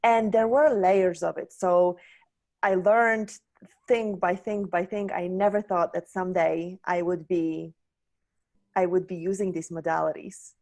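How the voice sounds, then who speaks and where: Woman from Poland, lowish voice, candid guest, searching and full of restarts. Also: steady tone, measured pace, a little mellow. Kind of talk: reflective personal story.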